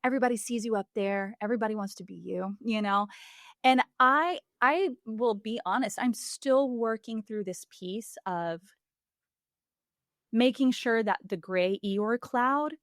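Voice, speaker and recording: A woman's voice: on the high side, measured pace, no echo. Reflective woman guest, dry close sound. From Puerto Rico. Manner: earnest, reflective, laughs often, many fillers. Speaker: podcast guest, singer and voice coach. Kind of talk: candid conversational interview.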